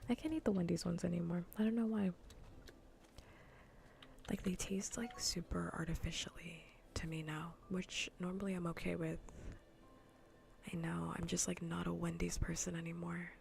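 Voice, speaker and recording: A woman livestreamer chatting softly in ASMR style, often whispering with a breathy, gravelly fry, in a casual, rambling way that repeats phrases. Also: lowish voice, little pitch movement, normal speed, slight background noise. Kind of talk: soft ASMR gaming livestream chat. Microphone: close ASMR microphone.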